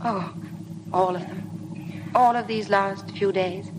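An actress playing a shy, devoted young bride in 1950s radio drama style, polite, hesitant and self-effacing. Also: female voice, mid-range voice, fairly even intonation, takes it slowly, background noise, natural voice. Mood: shy, wistful. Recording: vintage radio broadcast with music.

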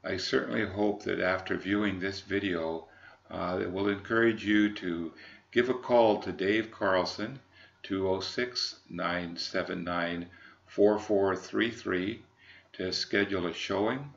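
Deep, gravelly American man, unhurried. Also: steady tone, highs cut off. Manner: halting, measured, formal phrasing. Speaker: yacht broker presenting a boat. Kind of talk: unscripted sales walkthrough narration.

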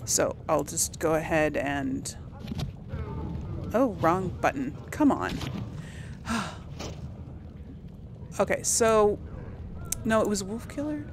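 A woman's voice: on the low side, lively intonation, slow pace, pauses often, background noise. A woman hosting a casual role-play game series, thinking aloud and chuckling at her own mistakes. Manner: chatty, thinks aloud, laughs at herself. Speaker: let's play host. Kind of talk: casual gameplay commentary.

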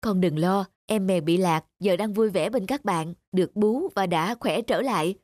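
A woman's voice: medium pitch, normal speed, no room sound, studio quality, lightly acted. Strict but caring cartoon stepmother, played by a woman. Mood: gentle, reassuring. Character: strict but loving stepmother of a young girl. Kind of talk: animated moral tale voice acting. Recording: loud music under the dialogue.

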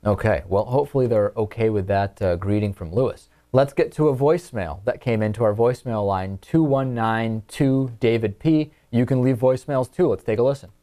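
Mellow young American man hosting talk. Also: mid-range voice, brisk, few pauses. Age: 20s. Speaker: talk show host. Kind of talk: political talk show presenting. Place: small TV studio, indoors.

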